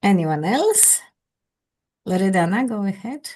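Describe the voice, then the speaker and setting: A woman with a Polish accent, leading an online English conversation class as its teacher, with careful, halting non-native phrasing. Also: medium pitch, big pitch swings, dark tone, slightly rough. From Poland. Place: indoors on a video call.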